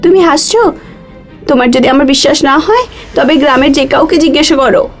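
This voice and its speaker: A woman's voice: high-pitched, fairly quick, faint music behind, voice pushed up, lightly acted. A woman voicing a gossipy village neighbour who warns newcomers in an emphatic, foreboding way, in a cartoon ghost story. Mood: warning. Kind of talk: animated ghost story dialogue.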